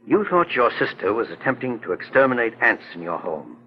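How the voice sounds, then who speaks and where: A man playing a kindly, assured older gentleman detective in a 1940s radio drama. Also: medium pitch, big pitch swings, brisk, breathy edge. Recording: dull lo-fi 1940s radio recording.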